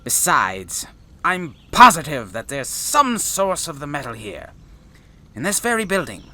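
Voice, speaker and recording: A man's voice: fairly high pitch, sing-song, a little slow, bright, forceful tone, heavily acted. A man playing an eccentric, insistent space traveler and professor in a sci-fi audio drama, with a theatrical, wordy, formal manner. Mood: persuasive.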